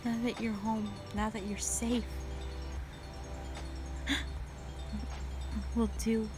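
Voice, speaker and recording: A woman voicing a gentle, protective young woman in an audio roleplay drama, speaking in an earnest, consoling way. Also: on the high side, level tone, moderate pace, over music, lightly acted. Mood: sorrowful, consoling. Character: gentle, protective young woman comforting her hurting friend.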